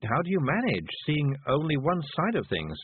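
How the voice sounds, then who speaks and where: A man's voice: on the high side, huge pitch swings, normal speed, breathy edge, pitched above the performer's own voice, heavily acted. A man voicing a dazed, weary older woman witch who speaks faintly and dreamily. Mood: dreamy. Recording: dry tinny phone-like sound.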